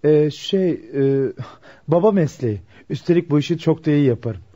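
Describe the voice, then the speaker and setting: A man's voice: a little high, lively intonation, normal speed, warm tone. An actor playing a shy, polite young male cobbler who speaks hesitantly and stammers in a radio play. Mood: flustered. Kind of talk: Turkish radio theatre acting. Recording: dull lo-fi radio drama recording.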